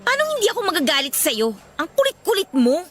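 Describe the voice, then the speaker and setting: A woman acting a teasing, feisty young student in a Tagalog radio love drama, speaking in a sassy, expressive way. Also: very high-pitched, fast talker, soft background music, full character voice. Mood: irritated. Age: young adult.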